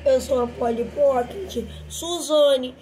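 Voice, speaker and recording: Girl doing a sniffly doll host, kinda high voice, chatty, noisy home recording. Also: takes it slowly, mellow. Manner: talkative, addresses viewers.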